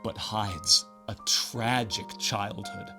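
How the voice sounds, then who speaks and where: A man's voice: fairly low pitch, slow, over music. A man delivering scripted video game commentary in a sardonic, wry way with exaggerated emphasis.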